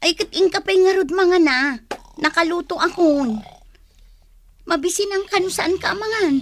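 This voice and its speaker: A woman acting as a fussing, protective wife in an Ilocano radio drama, scolding and exclaiming, with a northern Philippine Ilocano accent. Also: high voice. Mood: concerned, chiding. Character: Waldo's fussing, protective wife, exasperated but caring.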